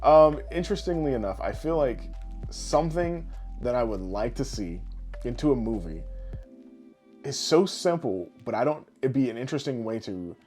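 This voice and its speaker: A man co-hosting a casual podcast, rambling through his ideas in an animated way and swearing freely. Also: mid-range voice, normal speed, faint music behind. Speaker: podcast co-host. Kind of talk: casual podcast chat about games and films.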